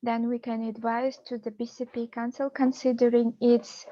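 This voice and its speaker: A young Kazakh woman speaking English with a Kazakh accent, pausing often to find words as she answers questions on a video call. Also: a little high, level tone, mellow, no echo, dull audio. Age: young adult. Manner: halting, searching for English words. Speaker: PhD student presenter.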